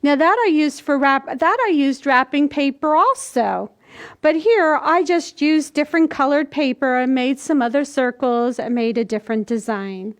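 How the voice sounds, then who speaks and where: A woman's voice: sits high, normal speed. A woman in her sixties hosting a children's storytime, explaining things to young children in simple steps as she demonstrates. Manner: simple, step-by-step, demonstrating while speaking. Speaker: children's library storytime host. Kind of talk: instructional talk to young children. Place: indoors, small plain room. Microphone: camera a few feet away.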